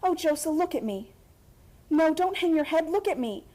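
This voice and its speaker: A woman's voice: very high voice, expressive, normal speed, warm tone. A woman playing an earnest, headstrong young heiress of a factory family in a radio play, speaking insistently. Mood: earnest pleading. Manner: earnest, insistent, pleading to commanding.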